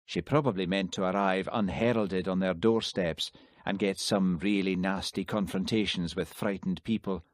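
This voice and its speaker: A quiet, shrewd country constable who speaks in a calm, measured way, as a male reader puts him on. The voice is low, dry and close. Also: no room sound, lightly acted.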